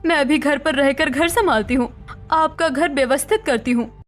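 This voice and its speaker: Woman acting an indignant young housewife, very high voice, assertive, defiant, cartoon drama, music behind. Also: normal speed, natural voice. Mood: angry. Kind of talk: Hindi cartoon story dialogue.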